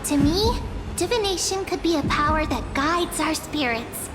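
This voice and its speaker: A woman voicing a playful, dreamy young girl in an anime-style video game, coy and earnest, with cutesy, expressive delivery. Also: high voice, moderate pace, music in the background. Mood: earnest, thoughtful.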